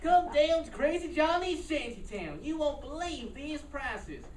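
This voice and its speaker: A woman's voice: high-pitched, lively intonation, a little slow, darkish tone. A woman performing a crazy, manic salesman in a parody store commercial. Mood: manic, pushy. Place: staged reading in a room. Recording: echoey, noisy room, distant mic.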